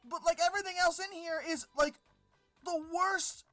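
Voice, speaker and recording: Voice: very high-pitched, fairly even intonation, normal speed, a bit bright. Exasperated, sarcastic commentator over music. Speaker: host of a paleontology commentary channel. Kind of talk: informal article-critique commentary.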